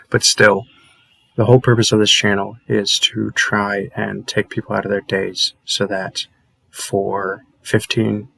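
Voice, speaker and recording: A man who runs a channel, chatting informally and a little haltingly to his viewers in a casual outro. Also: fairly low pitch, little pitch movement, creaky, sharp tone, dry recording, rough recording. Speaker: channel creator thanking viewers.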